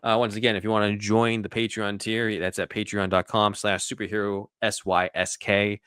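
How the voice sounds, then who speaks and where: A male podcast host speaks in a notably low voice. His delivery is casual and conversational, and the sound is dry, with hardly any room echo. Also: level tone, normal speed, a bit bright, clear, resonant tone.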